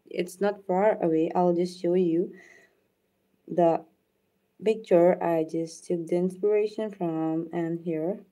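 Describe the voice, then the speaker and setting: A woman whose first language is Urdu, gently talking viewers through a nail art demonstration and thanking them by name. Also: lowish voice, fairly even intonation. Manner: soft-spoken, unhurried, thanks viewers by name. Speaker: nail art tutorial host. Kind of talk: live nail art demonstration with chat shout-outs.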